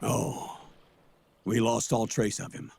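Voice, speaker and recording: An actor playing a weary, defensive older colonel in a video game, husky and measured in delivery. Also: male voice, fairly low pitch, flat delivery, a little mellow, heavily acted. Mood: somber. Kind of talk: dramatic video game voice acting.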